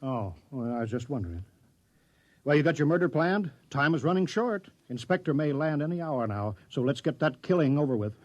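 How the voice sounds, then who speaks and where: A man voicing a scheming town mayor who pushes his plans with a lively, rising and falling delivery. The sound is dry and dull, like an old 1950s radio broadcast. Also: a little high, no echo, natural voice. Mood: bossy. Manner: bossy, hurried, persuasive. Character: scheming, bossy middle-aged male town mayor. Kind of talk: 1950s radio drama acting.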